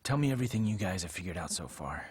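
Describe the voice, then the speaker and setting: Cartoon investigator, very low voice, businesslike, plain-spoken, faint background noise. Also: male voice, sing-song, fast. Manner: matter-of-fact, plain-spoken. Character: businesslike, serious male investigator ally. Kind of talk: English dub animated film acting.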